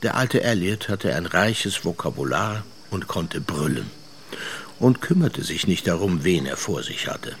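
A man's voice: deep voice, lively intonation, unhurried, a little hiss or hum. A male actor playing a reflective, wry seaman who tells his memories in a radio drama. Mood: musing, wry. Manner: musing, storytelling, sardonic. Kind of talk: radio drama first-person narration. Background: music.

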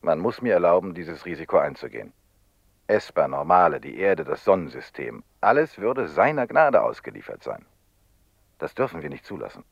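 An actor playing a grave, determined investigator in a radio drama, speaking in solemn, insistent rhetoric. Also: male voice, low voice, very animated, moderate pace, creaky, breathy edge, no room sound, muffled recording, heavily acted. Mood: grave pleading. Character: grave, determined man, telepath investigator urging drastic action. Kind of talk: German radio drama acting.

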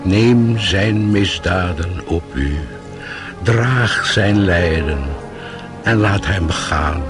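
An actor playing a solemn, compassionate old monk, preaching in measured radio drama style. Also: male voice, fairly low pitch, exaggerated voice. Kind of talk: Dutch radio drama acting. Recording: old radio play with music behind.

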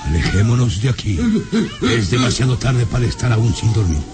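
An actor playing an irascible, imperious baron of a gloomy castle in an old radio serial, commanding and scornful. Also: male voice, medium pitch, moderate pace, noisy background, lightly acted. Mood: uneasy, brusque.

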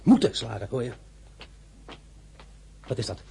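A man playing a proud, sharp-tongued young general in a radio drama, brisk and self-assured. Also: mid-range voice, very animated, gravelly, slight background noise.

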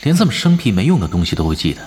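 A man's voice: lowish voice, very animated, very fast, darkish tone, breathy edge. A man acting in an audio drama as a laid-back, shrewd veteran gamer and team leader, matter-of-fact and understated. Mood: dry. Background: background music.

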